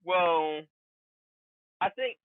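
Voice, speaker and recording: A man co-hosting a casual comedy podcast, telling absurd jokes in a rambling, halting way. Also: high-pitched, expressive, smooth, no echo, narrow, tinny audio. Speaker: podcast co-host.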